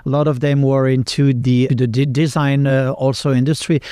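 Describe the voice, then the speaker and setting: A man's voice: medium pitch, little pitch movement, moderate pace, mellow, clean tone, clean studio sound. A man in his fifties from the south of France, hosting a podcast interview and often echoing his guest's words. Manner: eager, agreeing, repeats the guest's words. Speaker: podcast host. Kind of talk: casual interview chat.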